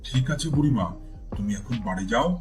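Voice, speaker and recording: Music sits clearly behind a calm cartoon landlord played by a man. He speaks with authority at a medium pitch. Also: brisk, close to an everyday voice. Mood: calm, assuring. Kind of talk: Bengali cartoon voice acting.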